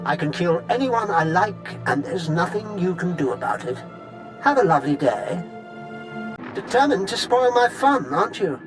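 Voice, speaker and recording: A sadistic Sith villain in a game cutscene. His voice sits a little high and swings widely in pitch as he taunts with theatrical courtesy. Faint music runs underneath. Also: sing-song, moderate pace. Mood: gloating, taunting. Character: gloating sadistic Sith villain, sneering and mockingly polite. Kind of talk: video game cutscene acting.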